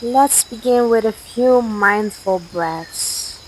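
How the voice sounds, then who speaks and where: A woman leading a guided sleep meditation in a gentle, soothing, measured way, with long pauses between instructions. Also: fairly high pitch, rough recording. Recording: steady outdoor nature noise, crickets. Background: cricket and night insect ambience.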